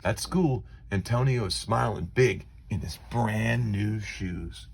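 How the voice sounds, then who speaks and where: A man reading a children's story aloud in an expressive, dramatic way with deliberate phrasing. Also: lowish voice, a little hiss or hum. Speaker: storybook reader.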